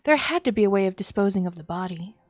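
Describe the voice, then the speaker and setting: A woman streamer reading a visual novel aloud as expressive, dramatic narration. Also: medium pitch, fast talker, no room sound, studio quality. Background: music.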